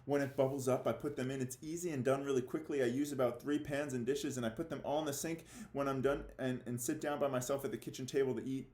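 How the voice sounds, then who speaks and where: A man speaking as a fifth-grade teacher giving a read-aloud lesson, halting and theatrically mock-serious in his delivery. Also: mid-range voice, fairly even intonation, a little mellow. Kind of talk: read-aloud lesson for children, reading a novel with commentary. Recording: echoey room.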